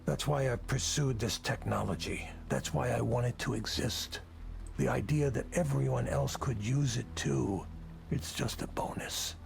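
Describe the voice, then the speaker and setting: An actor playing a weary, drunk, self-loathing male tech executive in a video game, with measured, gravely earnest delivery. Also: mid-range voice. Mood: bleak confession. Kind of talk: visual novel voice acting.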